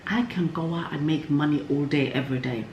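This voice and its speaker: A woman's voice: deep voice, a little fast, muffled recording. Candid woman vlogging in echoey room. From Somalia. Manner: candid, cheeky, informal slang. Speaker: vlog host. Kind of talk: casual chatty get-to-know-me vlog. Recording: echoey room, faint background noise.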